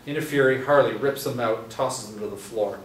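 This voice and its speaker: A man reading stage directions aloud at a table reading, steady with small restarts. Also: medium pitch, fairly quick. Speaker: script narrator. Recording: echoey room from a distance, faint hiss.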